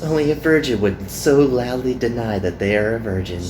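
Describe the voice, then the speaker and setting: A man's voice: medium pitch, animated, natural voice. Man putting on a haughty, teasing seductress in roomy echo. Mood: mocking. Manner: taunting, condescending, drawn-out. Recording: roomy echo, some background noise.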